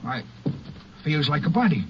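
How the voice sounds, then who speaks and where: An old radio recording, muffled with faint noise, of a train brakeman. He is a folksy, easygoing working man with a fairly high voice, acting in period serial style. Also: big pitch swings, normal speed. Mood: uneasy, puzzled.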